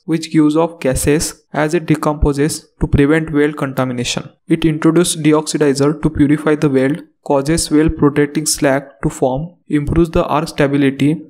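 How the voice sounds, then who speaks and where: A man narrating a scripted technical lesson as the instructor, in a steady reading delivery. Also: a little high.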